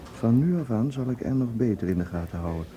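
A man's voice: medium pitch, animated, brisk, mellow, lightly acted, low-quality recording. A man acting in a radio drama as a polite, cool-headed bachelor doing covert watching. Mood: guarded. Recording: radio play with busy crowd ambience.

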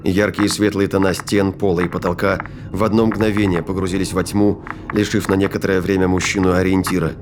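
A man's voice: deep voice, flat delivery, a little fast, background noise. A man reading dramatic scripted narration as the narrator of an audio drama. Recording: loud music behind. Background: loud music and effects.

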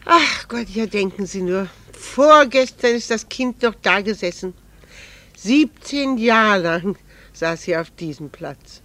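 An actress playing a genteel middle-aged wife and mother in a German radio play, wistful and gently teasing, with refined manners. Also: mid-range voice, animated, takes it slowly, no echo. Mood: wistful, pained.

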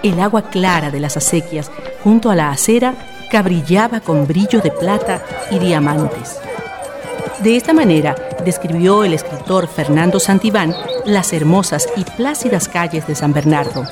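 A woman's voice: on the low side, lively intonation, moderate pace. A woman narrating a scripted radio drama in a lyrical, formal storytelling manner. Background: prominent music with guitar.

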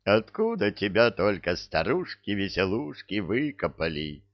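A man plays a naive young hero of a comic fairy tale, sarcastic and exasperated. He grumbles in a low, creaky voice on a slightly dull recording. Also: very animated, unhurried, no echo. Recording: dull lo-fi audio.

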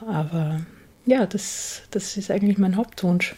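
A middle-aged woman with an Austrian accent giving a casual interview answer, halting as she thinks aloud. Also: medium pitch, darkish tone, breathy edge.

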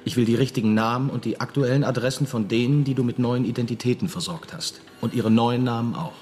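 A man's voice: medium pitch, fast talker, a little mellow, lightly acted. An actor playing a menacing, vengeful man posing as an army captain, curt and demanding, in a radio drama. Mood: coldly demanding.

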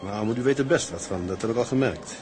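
An actor plays a modest young ship's mate in a period drama. His voice sits fairly low and he talks laconically, with dry humour. Music plays behind him. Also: expressive, fast talker, natural voice. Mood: dryly amused. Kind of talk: period screen drama dialogue.

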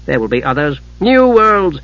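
A man's voice: a little high, very animated, moderate pace, no echo, highs cut off. A man voicing a cold, scornful and domineering warrior mother in a fantasy story, with a sneering, commanding delivery. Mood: contemptuous.

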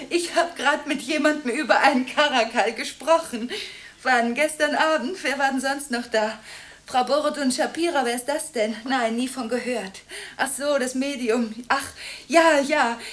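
High-voiced, agitated woman on the phone acted. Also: lightly acted. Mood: flustered, chatty. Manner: breathless, impulsive, swinging between protest and hushed brooding. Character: agitated, contradictory woman in nonstop phone calls. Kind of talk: German radio drama monologue, one side of phone calls.

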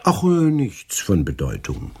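An actor playing a bemused chronicler, musing in a measured way to himself in a German radio drama. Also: male voice, lowish voice, wildly swooping pitch, breathy edge, exaggerated voice. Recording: loud violin music under voice.

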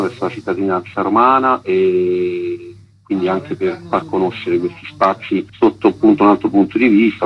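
A man's voice: sits low, muffled recording. A man speaking informally as a guest in a radio interview. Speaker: festival artistic director, interview guest.

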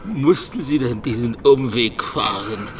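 An actor playing a wistful country man in a German radio play, speaking in a halting, musing way. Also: medium pitch, lively intonation, a crowd in the background, lightly acted. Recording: radio drama, music and effects behind.

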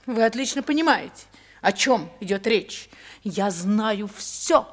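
A woman's voice: medium pitch, animated, takes it slowly. A woman acting in a radio play as a proud, wronged society wife, haughty, defiant and intensely dramatic. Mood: cold, bitter.

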